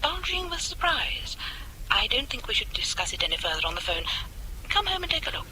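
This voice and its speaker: Very animated, spirited young wife. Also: female voice, sits high, fairly quick, exaggerated voice. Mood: secretive excitement. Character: spirited expectant young wife, playfully self-assured. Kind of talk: radio drama acting. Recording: noisy old radio drama recording.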